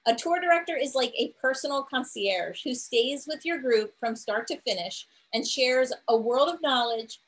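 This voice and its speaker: A woman's voice: on the high side, a bit bright, rough recording. Middle-aged woman hosting an online tour. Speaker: host, tour organizer. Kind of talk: webinar introduction. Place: home room. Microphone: video call.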